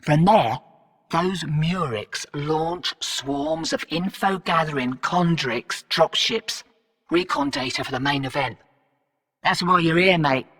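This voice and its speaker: Bright, brash Aussie smuggler, echoing hall. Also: female voice, sits low, animated, measured pace, heavily acted. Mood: brisk, businesslike. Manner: slangy, cheeky, streetwise. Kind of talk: video game character briefing and callouts. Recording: echoey hall reverb, distant sound.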